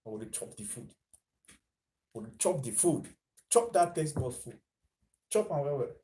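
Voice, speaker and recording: Chatty Nigerian man with a mellow voice. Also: on the high side, sing-song, normal speed. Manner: chatty, mixes in Pidgin, laughs at his own lines. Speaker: vlogger. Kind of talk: casual commentary vlog.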